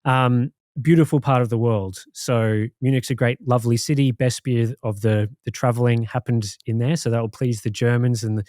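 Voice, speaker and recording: A man's voice: mid-range voice, normal speed, few pauses, dry recording, studio quality. Laid-back Australian man telling a story. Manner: rambling, relaxed storytelling with many fillers. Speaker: podcast co-host. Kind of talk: casual chat.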